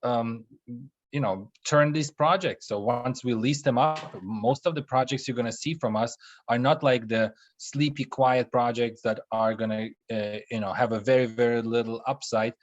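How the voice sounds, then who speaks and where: A young man presents his investment firm on a webinar, talking haltingly with plenty of ums and restarts. His voice sits at an ordinary pitch. The call audio is dull and muffled. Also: fairly even intonation. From Russia. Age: young adult. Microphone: video call.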